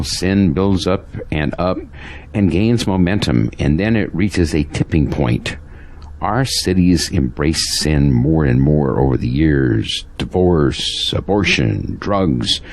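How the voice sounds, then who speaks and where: Devout, troubled pastor for audio drama male actor: low voice, animated delivery, earnest and preachy, slight noise on the recording. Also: slow pace, creaky, heavily acted. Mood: grave, disapproving.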